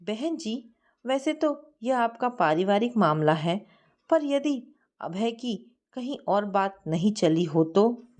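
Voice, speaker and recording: A woman's voice: medium pitch, sing-song, takes it slowly, darkish tone. A woman narrator voicing an older mother who tactfully and persuasively proposes a family match. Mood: tactful persuasion.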